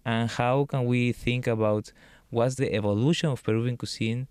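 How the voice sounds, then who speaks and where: A Peruvian man, a chef, answering interview questions in a relaxed way, thinking aloud with many fillers. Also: mid-range voice, normal speed, smooth, no room sound. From Peru. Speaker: chef and cookbook author.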